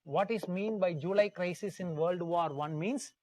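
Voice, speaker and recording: A man's voice: high voice, normal speed, darkish tone, slight background noise. A man with an Indian accent gives a history lecture as a teacher, telling it like a story, emphatically repeating key phrases and putting questions to the class. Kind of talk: live classroom lecture told as dramatized story.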